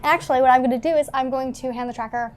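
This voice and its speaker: A woman game player with a fairly high voice talks casually during roleplay. Quiet music sits behind her. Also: steady tone, racing.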